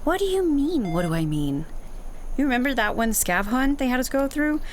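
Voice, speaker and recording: A woman playing a wry, teasing female netrunner in a conversational cyberpunk ASMR audio roleplay, talking casually to her partner with thoughtful pauses. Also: sits high, very animated, slight background noise. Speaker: roleplay voice actor.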